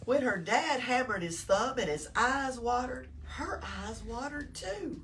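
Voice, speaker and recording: A woman with a Southern US accent reading a picture book aloud to children, expressively, pausing to put questions to them. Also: a little high. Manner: expressive, engages listeners with questions. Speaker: storytime reader at a library. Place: indoors in a library. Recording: distant mic, noticeable room noise.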